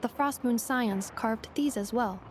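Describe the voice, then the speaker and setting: A woman voicing a polite, analytical robotic girl guide in a video game, speaking in a calm, measured, formal, matter-of-fact way. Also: fairly high pitch, very noisy, natural voice. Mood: informative, composed. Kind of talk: video game dialogue, informative exposition.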